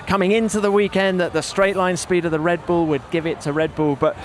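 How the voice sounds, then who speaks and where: A man's voice: high-pitched, flat delivery, brisk, slight background noise. A man presenting a motorsport podcast as its host. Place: outdoors at race paddock.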